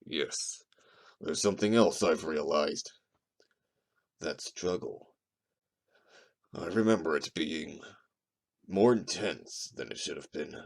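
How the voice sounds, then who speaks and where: A man playing a gruff, boastful wolf witness with a sneering, blunt manner in an audio drama. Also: a bass voice, takes it slowly, long pauses, full character voice. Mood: grave. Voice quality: gravelly. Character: gruff, hot-tempered, boastful, insulting male wolf witness. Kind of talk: amateur audio drama acting.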